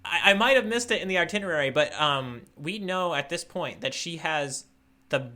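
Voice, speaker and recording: Bright-toned, chatty male podcaster. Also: on the high side, animated, normal speed. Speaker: podcast co-host, newcomer tourist. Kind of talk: casual chat, manga readalong podcast.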